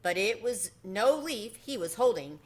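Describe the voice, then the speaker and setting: A woman reading a children's story aloud in an expressive narrating style. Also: medium pitch, measured pace, slightly rough. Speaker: storybook reader.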